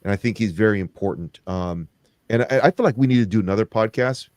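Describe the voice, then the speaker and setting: A middle-aged man hosting a podcast, talking in a rambling, agreeable way with frequent pauses and restarts. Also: lowish voice, darkish tone, no room sound. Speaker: podcast host. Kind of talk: conversational interview.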